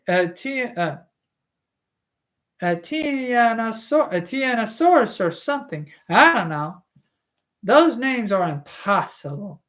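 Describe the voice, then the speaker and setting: A woman's voice: mid-range voice, pitched above the performer's own voice. A woman voicing a talkative middle-aged female lab technician and mother of two, breezy and dismissive.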